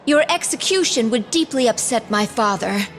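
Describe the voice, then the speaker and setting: Fantasy game heroine: actress as proud young princess, high voice, formal and dutiful, music behind. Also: female voice, expressive, moderate pace, lightly acted. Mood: earnest, guarded. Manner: formal, earnest. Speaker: voice actor in a fantasy video game. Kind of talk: dramatic game dub acting.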